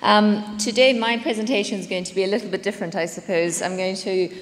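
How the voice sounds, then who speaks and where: A woman's voice: mid-range voice, fairly even intonation, brisk. South African woman speaking in a hall. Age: late 30s. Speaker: conference speaker, company executive. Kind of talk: presentation to an audience. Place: conference hall. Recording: long reverb of a conference hall.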